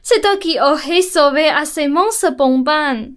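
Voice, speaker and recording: A woman acts a fussy, pushy mother in a radio farce. She speaks in a very high voice, drawing her words out slowly as she nags. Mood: nagging. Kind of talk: student radio play acting.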